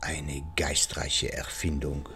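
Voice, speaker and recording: An actor playing a shrewd, courteous older detective who questions politely and probingly in a radio crime drama. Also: male voice, extremely low pitch, completely flat delivery, takes it slowly, sharp tone, a little hiss or hum, heavily acted. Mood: courteous, pensive.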